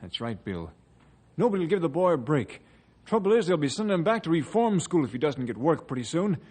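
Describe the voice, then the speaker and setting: A man's voice: a little high, sing-song, brisk, darkish tone, husky. An actor playing a troubled, pleading father in old-time radio style, anxious and emotional. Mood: bitter, distressed.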